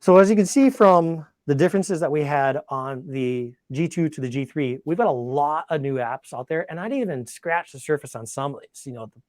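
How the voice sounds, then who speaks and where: A man's voice: on the high side, a little fast, a little mellow, no room sound. A man presenting an informal technical webinar and taking questions, talking conversationally and thinking aloud as he goes. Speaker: product demonstrator and webinar presenter.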